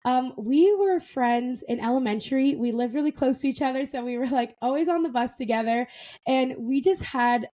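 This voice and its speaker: A woman in her twenties hosting a casual podcast interview, enthusiastic and quick to laugh, often repeating words for emphasis. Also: high-pitched, mellow. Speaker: podcast host.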